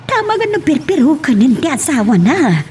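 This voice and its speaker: A woman's voice: sits high, very animated, moderate pace, a little mellow, a little hiss or hum. A woman acting a fiery, anxious mother in a melodramatic audio drama, speaking emphatically. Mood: indignant.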